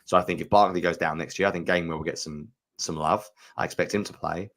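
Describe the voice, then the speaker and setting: A man's voice: deep voice, fairly even intonation, quick pace, a bit bright. A man co-hosting a sports podcast, giving frank, opinionated analysis and thinking aloud with fillers. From United Kingdom. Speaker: podcast co-host.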